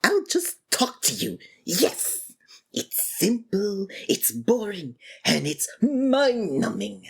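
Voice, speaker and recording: A woman playing a sardonic, theatrical supervillain who monologues and taunts in a scripted ASMR roleplay, with a breathy voice. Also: medium pitch, sing-song, slow, full character voice. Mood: gleefully menacing.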